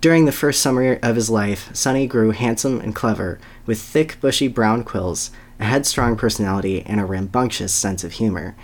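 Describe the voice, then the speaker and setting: Podcast host reading aloud, medium pitch. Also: normal speed, few pauses. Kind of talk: casual introduction and dramatic reading of a story.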